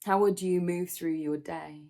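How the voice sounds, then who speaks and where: A woman leading a guided meditation in a soothing, gentle manner, with measured instructions and pauses. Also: on the low side, steady tone, gravelly.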